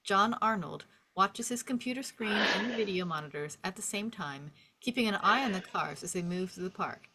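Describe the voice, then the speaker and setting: A woman narrating the stage directions at a script table read in an expressive storytelling manner. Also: mid-range voice, normal speed, hardly any pauses. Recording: faint background noise and clicks.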